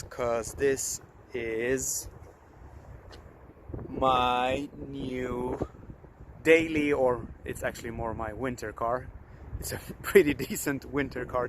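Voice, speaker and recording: A man's voice: mid-range voice, fairly even intonation, slow. A young man with a Swedish accent, chatting casually and upbeat about his new car in a vlog. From Sweden. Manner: chatty, upbeat, self-deprecating asides. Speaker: car vlogger. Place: outdoors at a fuel station and by a car. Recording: outdoor wind and ambient noise.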